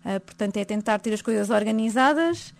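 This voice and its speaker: Portuguese woman, archivist guest, mid-pitched, thinking aloud with pauses. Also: animated, creaky, darkish tone. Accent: European Portuguese. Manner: thoughtful, hesitant, with fillers and tag questions. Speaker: diocesan document management specialist. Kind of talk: radio interview answer.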